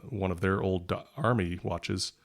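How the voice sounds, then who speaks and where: A man's voice: low-pitched, fairly even intonation, moderate pace, vocal fry. A man being interviewed as a podcast guest, chatting casually and thinking aloud in a rambling, hesitant way. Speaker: podcast guest, writer and product journalist. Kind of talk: casual conversational interview chat.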